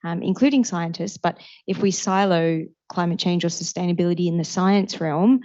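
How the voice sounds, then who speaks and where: A woman's voice: lowish voice, normal speed, dark tone. A woman on a discussion panel, speaking earnestly with fillers like "um" and "you know". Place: seminar hall before an audience. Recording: muffled lo-fi event recording.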